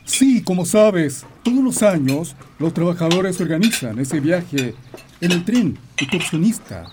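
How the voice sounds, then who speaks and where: Man acting as animated family patriarch. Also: high-pitched, unhurried. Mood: brisk, reassuring. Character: stern but reassuring father, railway worker and patriarch. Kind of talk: radio drama acting. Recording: noisy, clinking dishes and clicks.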